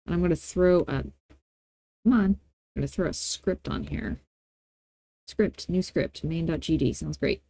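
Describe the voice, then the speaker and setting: Give this a woman narrating a casual screencast tutorial. Her voice is relaxed and warm, and the sound is dry and close. She talks informally, dropping playful asides as she explains each step. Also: fairly low pitch, a little slow, vocal fry, long pauses, no room sound. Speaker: tutorial host.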